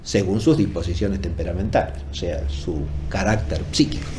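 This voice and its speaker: A man's voice: low voice, a little mellow. Man lecturing over noticeable background noise. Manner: deliberate, often seeking agreement with tag questions. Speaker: philosophy lecturer. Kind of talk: explanatory academic lecture, spoken off the cuff.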